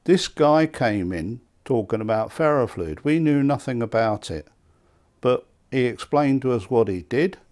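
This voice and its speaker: A man in his seventies with an English accent, reminiscing conversationally in an interview, halting and repeating words. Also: mid-range voice, lively intonation, a little mellow. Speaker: loudspeaker maker being interviewed. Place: small indoor hi-fi demonstration room. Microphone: lapel mic.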